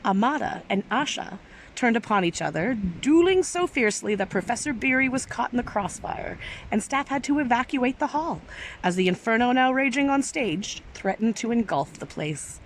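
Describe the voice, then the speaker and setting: A woman's voice: a little high, lively intonation, normal speed. A woman reading a story aloud expressively, with careful, theatrical phrasing. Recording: clearly noisy background.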